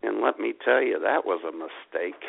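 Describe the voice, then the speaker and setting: A man co-hosting an internet radio show in casual, playful comedic banter, with a gravelly voice. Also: medium pitch. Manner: playful, joking. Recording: dull lo-fi internet radio sound.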